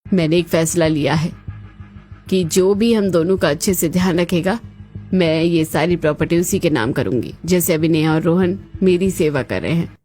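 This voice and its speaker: A woman's voice: on the low side, normal speed, dark tone, soft background music, natural voice. A woman voicing a stern older mother-in-law in a cartoon family drama, with emphatic, scolding delivery. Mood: firm. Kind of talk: Hindi cartoon moral-story voice acting.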